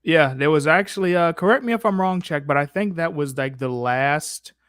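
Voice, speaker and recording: Slangy male stream host, higher-pitched voice. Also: moderate pace, a bit bright, dry recording, studio quality. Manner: slangy, playful, informal y'all-heavy talk. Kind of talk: casual improvised banter and chat Q&A.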